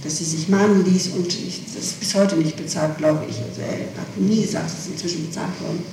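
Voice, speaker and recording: A woman's voice: on the low side, fairly even intonation, brisk, warm tone. A woman gives spontaneous testimony under questioning, often restating her words. She is heard from far off in a very echoey room, on a noisy old 1960s tape. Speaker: person being questioned in an interrogation. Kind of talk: interrogation testimony, spontaneous answers. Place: echoey room, recorded from a distance.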